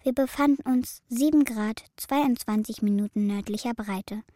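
A woman's voice: on the high side, normal speed, dark tone, no room sound, studio quality, close to an everyday voice. An actress playing a spirited, defiant young city girl in a children's radio drama, earnest and headstrong. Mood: calm reading.

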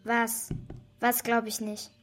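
A woman's voice: a little high, completely flat delivery, slow, a bit bright. A woman playing an impatient, worried young daughter in a radio play.

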